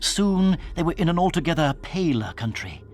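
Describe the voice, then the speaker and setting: The narrator of a children's audio drama. His voice sits a little high for a man as he reads the scripted storybook prose. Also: sing-song, normal speed, slight background noise. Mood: measured.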